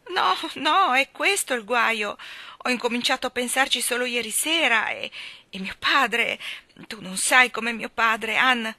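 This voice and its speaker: A woman's voice: on the high side, animated, a little slow, sharp tone, no room sound, voice pushed up, exaggerated voice. A woman voicing an anxious, self-pitying young bride who sobs and pleads. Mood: tearful pleading. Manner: sobbing, pleading.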